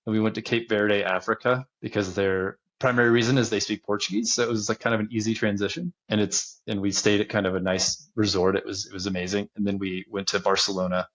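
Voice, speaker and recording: A man in his thirties, a podcast guest, talking casually about his business and travels, relaxed and often chuckling. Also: on the low side, quick pace, hardly any pauses, muffled recording. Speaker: podcast guest, land investor. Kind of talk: casual interview talk.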